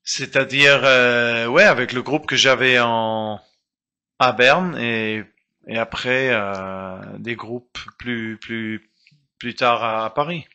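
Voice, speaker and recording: Slow, bright-voiced man. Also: mid-range voice, fairly even intonation, clean tone. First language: German. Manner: hesitant, searching for words with many restarts. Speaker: guest musician. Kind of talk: casual interview talk.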